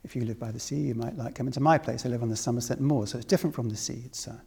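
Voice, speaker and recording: A man from Wales teaches spiritual philosophy to a room in a creaky, soft-edged voice. He builds his points deliberately, repeating phrases and posing questions. Long hall reverb surrounds his words. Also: medium pitch. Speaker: spiritual teacher leading a talk. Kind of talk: spiritual discourse to a live audience.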